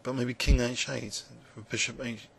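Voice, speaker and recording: Croaky man thinking aloud over chess. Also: mid-range voice, steady tone, moderate pace, fairly bright tone, a little hiss or hum. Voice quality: gravelly. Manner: musing and hesitant, with many fillers. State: croaky voice, clearing throat. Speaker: chess commentator playing online blitz. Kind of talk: live game commentary, thinking aloud.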